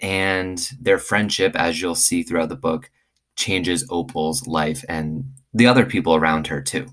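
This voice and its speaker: A man reading aloud to children in an expressive storytelling style. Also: sits low. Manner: expressive, acts out dialogue. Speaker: teacher reading aloud to students.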